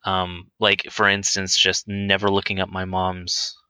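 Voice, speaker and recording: A man in his late twenties talking candidly and casually as a guest, hesitant and halting, feeling his way through his answers. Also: deep voice, monotone, sharp tone, clear, resonant tone, no room sound. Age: twenty-nine. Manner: hesitant, halting, self-conscious. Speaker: interview guest on a podcast.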